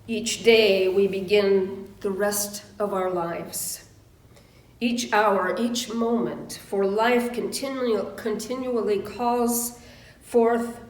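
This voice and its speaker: A woman minister speaking a reverent prayer in a solemn, measured way, with deliberate pauses between phrases. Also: mid-range voice. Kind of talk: reverent spoken prayer and benediction. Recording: roomy echo.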